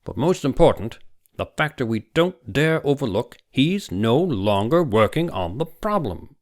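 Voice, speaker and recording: A man's voice: sing-song, a little slow, a little mellow. Audiobook role: male reader as huge, ruthless senior doctor, heavy-voiced, pushing every point hard. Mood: intense, insistent. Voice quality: heavy, booming. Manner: emphatic, insistent. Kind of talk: audiobook character dialogue.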